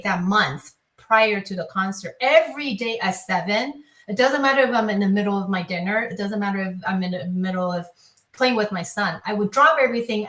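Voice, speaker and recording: An echoey room surrounds this woman's voice, which sits at a medium pitch and carries a Taiwanese accent. She speaks conversationally and reflectively as an interview guest, often repeating a phrase as she works through an explanation. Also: fairly bright tone. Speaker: classical pianist, teacher, interview guest. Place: online video discussion, voice in an echoey room.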